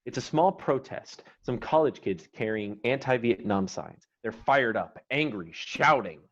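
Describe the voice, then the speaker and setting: A man's voice: medium pitch, animated, a little slow, fairly bright tone. A man narrating stage directions from a screenplay in a scripted read. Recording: dull, noisy online recording with clicks.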